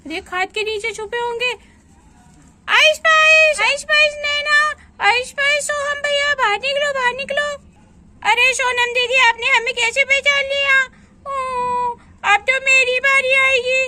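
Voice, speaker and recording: Woman doing a squeaky, excited little girl. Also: slight background noise. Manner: excited, sing-song calling. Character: little village girl, playful and eager.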